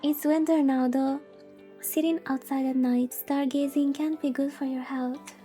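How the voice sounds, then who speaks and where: A woman's voice: high-pitched, level tone, a little slow, warm tone, soft background music. A woman streamer reading a visual novel aloud in a casual livestream style, informal and given to playfully exasperated commentary.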